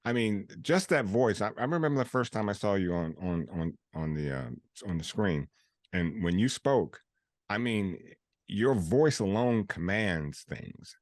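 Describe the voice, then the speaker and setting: A man hosting an interview, talking enthusiastically with many halting restarts and repeated words. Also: on the low side, expressive, no room sound, studio quality. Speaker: interview host. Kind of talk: casual interview chat.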